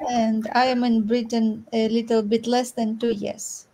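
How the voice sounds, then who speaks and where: A woman's voice: fairly high pitch, level tone, a little slow, mellow. A woman from Ukraine with a Ukrainian accent, chatting casually as a guest on an online call. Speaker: guest participant. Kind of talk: casual greeting. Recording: clearly noisy call audio.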